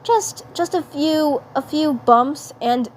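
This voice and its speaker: Casting: proud, gruff cartoon alien schoolboy played by a woman, halting and awkward, fairly high voice, amateur recording with some noise. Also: low-quality recording. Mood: nonchalant. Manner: gruff, halting, feigned nonchalance. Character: proud, awkward male alien schoolboy hiding his pain.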